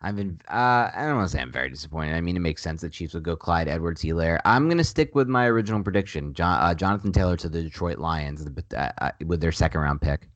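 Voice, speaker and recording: A man with a nasal voice pitched very low co-hosts a casual sports podcast. He presses his points hard and repeats them for emphasis. His voice is recorded close and dry on a podcast mic. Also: expressive, quick pace, hardly any pauses, dry recording, highs cut off. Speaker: podcast co-host.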